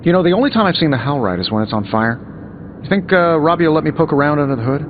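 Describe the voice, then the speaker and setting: An actor playing a cocky, glib billionaire inventor superhero in a video game, teasing and self-assured. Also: male voice, fairly high pitch, animated, fast talker, background noise, lightly acted. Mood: casual, guarded. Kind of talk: video game dialogue acting. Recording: dull muffled game capture, music behind.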